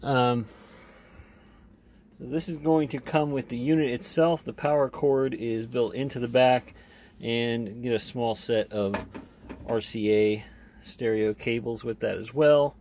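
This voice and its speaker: Slow-talking man giving a casual product demo. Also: medium pitch, steady tone, darkish tone, slight background noise. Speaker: online seller demonstrating used electronics. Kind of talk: casual unscripted product demonstration.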